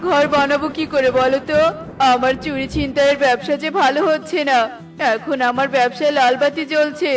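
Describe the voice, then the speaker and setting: A woman's voice: sits high, steady tone, fairly quick, soft background music, close to an everyday voice. A woman voicing a bullying, thieving male crow in a children's cartoon, domineering and dismissive. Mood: irritated, dismissive.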